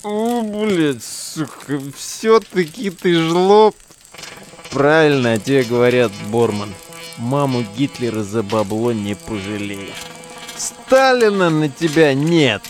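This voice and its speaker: An actor in a modern audio drama playing a foul-mouthed, mocking relic digger who talks in coarse slang. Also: male voice, medium pitch, lively intonation, slow pace, faint music behind. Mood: needling, tipsy. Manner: slangy, coarse, jeering banter.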